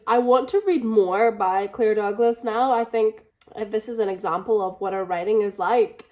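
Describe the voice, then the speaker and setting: Higher-pitched young woman, echoey room. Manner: chatty, repeats phrases for emphasis. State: run down with a cold. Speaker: book vlogger. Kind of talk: casual chat to camera, reading vlog. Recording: echoey room with faint background noise.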